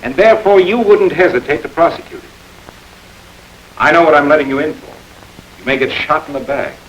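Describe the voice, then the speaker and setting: An actor playing an idealistic new district attorney who speaks earnestly and persuasively, in a 1930s crime film. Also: male voice, fairly high pitch, normal speed, muffled recording, a little hiss or hum, close to an everyday voice.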